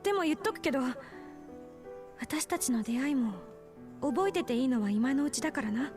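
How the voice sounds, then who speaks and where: An actress playing a proud, bashful, sharp-tongued young woman scientist in a Japanese visual novel, halting and tender. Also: high voice, expressive. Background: game music.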